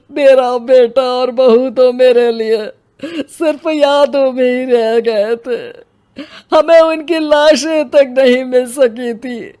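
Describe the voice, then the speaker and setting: Slow, sorrowful old village headman played by a woman. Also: high-pitched, warm tone. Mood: grief-stricken. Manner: slow, plaintive. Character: grieving elderly village headman, sorrowful father. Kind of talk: melodramatic story dialogue.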